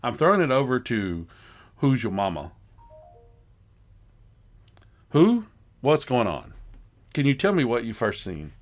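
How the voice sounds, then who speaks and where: Animated, teasing mock news reporter, played by a man. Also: mid-range voice, normal speed, lightly acted. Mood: mock-serious. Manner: teasing, skeptical, breaks into laughter. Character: female TV news reporter Mary McBigbottom, folksy, incredulous, jokey. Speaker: interviewer. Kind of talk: improvised mock news interview.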